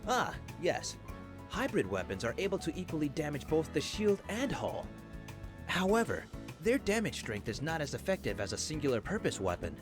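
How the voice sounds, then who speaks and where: A man playing a formal, instructive professor in a video game, lecturing in a measured, articulate way. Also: a little high, huge pitch swings. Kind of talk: scripted game voice acting, classroom lecture. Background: game music.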